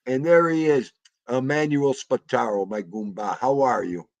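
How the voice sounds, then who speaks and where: A man's voice: mid-range voice, moderate pace, gravelly, darkish tone, no room sound. Chatty man hosting a livestream. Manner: chatty, laughs often, greets viewers. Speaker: livestream host. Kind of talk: casual chat.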